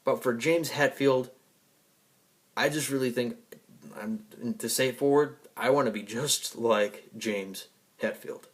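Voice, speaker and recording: A man's voice: mid-range voice, measured pace. A man giving a rambling personal monologue to an audience in an emphatic, defiant, profanity-laced way. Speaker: vlogger and music fan.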